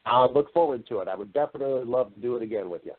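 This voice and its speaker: A man's voice: mid-range voice, very fast, breathy edge. A man speaking casually and affably as an interview guest, explaining things in a conversational way. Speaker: podcast guest, voice-over artist and narrator. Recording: muffled band-limited internet radio call.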